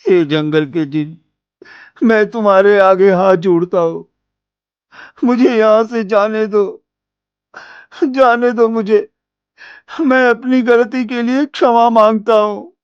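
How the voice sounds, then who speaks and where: A man's voice: sits high, slow, long pauses, clean tone, no room sound, muffled recording. A man voicing a fearful young villager. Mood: terrified pleading. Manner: urgent, then pleading.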